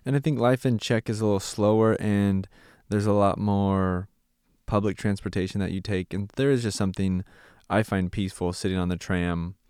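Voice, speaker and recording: Guy from california in his 30s, earnest reflective talk, low resonant voice. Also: fairly even intonation, moderate pace, a little mellow, smooth, no room sound, clean studio sound. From California, USA. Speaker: missionary, ministry partner. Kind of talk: casual podcast conversation.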